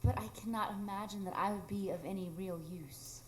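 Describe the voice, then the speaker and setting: A woman's voice: medium pitch, steady tone, a little fast, natural voice. A woman playing a wronged, wistful, self-doubting lady with a formal manner in a live radio drama. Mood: wistful, bitter. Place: small improv theatre stage. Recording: roomy, noisy live stage recording.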